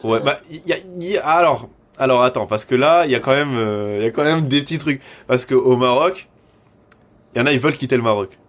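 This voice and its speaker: A man with a medium-pitched voice chats casually. He speaks in slangy, looping bursts, often repeating a word several times before carrying on. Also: fairly quick, a bit bright. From born in Morocco, raised in France from six months old. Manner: repetitive, stammering starts with slangy French. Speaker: e-commerce coach hosting a discussion with students. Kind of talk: casual chat.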